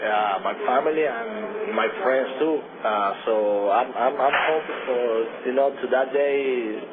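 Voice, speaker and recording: A young man from Cuba with a Cuban Spanish accent and Spanish as his first language, a baseball pitcher answering radio interview questions in halting speech with many restarts. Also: a crowd in the background. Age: young adult. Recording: tinny phone-like radio broadcast with noise.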